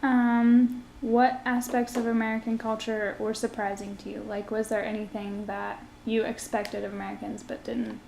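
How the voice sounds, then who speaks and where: A woman's voice: on the high side, flat delivery, normal speed, creaky, few pauses, darkish tone, reverberant, slight background noise, low-quality recording. A young woman asking interview questions casually and encouraging answers with mm-hmms.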